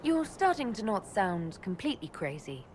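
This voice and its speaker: An actress playing a composed, dutiful elf mage leader in a video game, speaking in a measured, dry, questioning way. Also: female voice, medium pitch, sing-song, a little hiss or hum, lightly acted. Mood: probing, dry. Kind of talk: video game dialogue acting.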